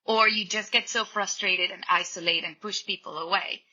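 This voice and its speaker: A woman from Colombia, a podcast guest, calmly explaining her ideas in a reflective conversational way. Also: medium pitch, moderate pace. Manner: thoughtful, explanatory, quotes people in little voices. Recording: dull lo-fi podcast audio.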